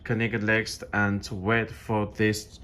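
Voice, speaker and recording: Slow-paced non-native English-speaking man, product tutorial. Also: on the low side, completely flat delivery, fairly bright tone, a little hiss or hum. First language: not English. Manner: halting, repeats phrases. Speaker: product demonstrator. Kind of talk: step-by-step tutorial, unscripted.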